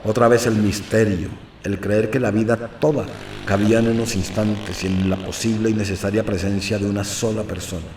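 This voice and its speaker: A man's voice: lowish voice, level tone, moderate pace, close to an everyday voice. A man with a Colombian accent narrating an audio novel in the first person as a brooding, anxious character. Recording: roomy, faint noise, distant mic.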